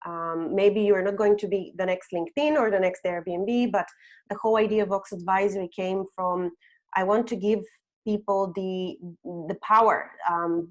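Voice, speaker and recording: A woman's voice: mid-range voice, a little mellow. A woman in her thirties, originally from Bulgaria, presenting a webinar with slides and often adding "um" and "okay?". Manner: frequent "um" and "okay?" tags. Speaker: webinar host, HR consultant.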